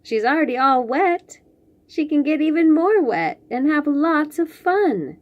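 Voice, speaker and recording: A woman reading picture books aloud to young children, expressively, with drawn-out phrases and chatty asides. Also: sits high, sing-song, lo-fi sound. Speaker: children's read-aloud host.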